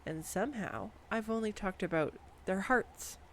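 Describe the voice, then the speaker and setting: A voice actress performing a scripted girlfriend roleplay, bubbly and playful as she gushes excitedly over facts at a home mic. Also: medium pitch, normal speed, a little hiss or hum. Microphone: studio condenser mic.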